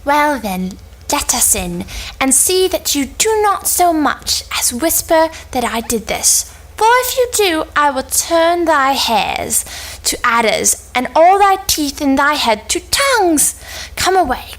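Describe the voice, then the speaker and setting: A woman's voice: high voice, big pitch swings, slow pace, fairly bright tone, exaggerated voice, poor audio. A woman playing a scheming, menacing old sorceress in a cold read of an Elizabethan stage play. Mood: threatening.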